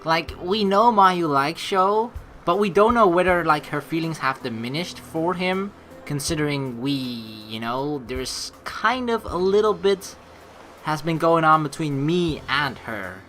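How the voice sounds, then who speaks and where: Young woman doing a let's play, slangy and expressive, bright kinda low voice. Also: unhurried, faint music behind. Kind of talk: playthrough commentary, reading game narration aloud.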